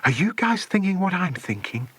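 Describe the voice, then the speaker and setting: A keen young boy hungry for adventure, voiced by a man in a children's audiobook. The voice is lifted high with very varied intonation, and sounds dry. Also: very animated, normal speed, fairly bright tone, husky, no echo, heavily acted. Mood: keen, curious. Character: keen, excitable adventurous schoolboy.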